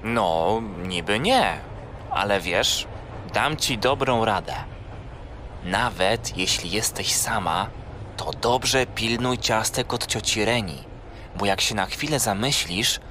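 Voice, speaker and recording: Unhurried, teasing big brother. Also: male voice, mid-range voice, sharp tone, slight background noise. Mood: teasing, kind. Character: teasing, kindly big brother, young man. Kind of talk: children's audio drama acting.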